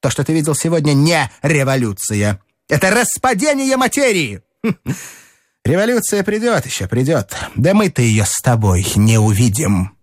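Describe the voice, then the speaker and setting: A man voicing an excitable male engineer who shouts his doom-laden predictions in a high, agitated voice. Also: normal speed, no echo, clean studio sound. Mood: frantic. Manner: shouting, frantic, exclamatory.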